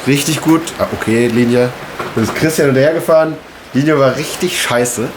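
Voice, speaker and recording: Junger Mann, lockerer Erzählton, halliger Raum. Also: male voice, mid-range voice, a little fast. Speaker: kayaker recounting the day. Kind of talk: casual storytelling to camera, slangy. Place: indoors in an unheated house. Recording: echoey room, noticeable background noise.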